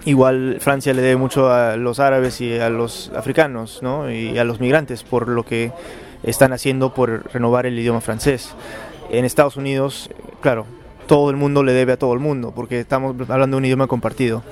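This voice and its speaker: A man speaks in an unscripted interview, weighing his words. He hesitates often and repeats himself as he builds his answers. His voice sits at an ordinary middle pitch. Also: level tone, normal speed, darkish tone. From Peru. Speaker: interviewed novelist.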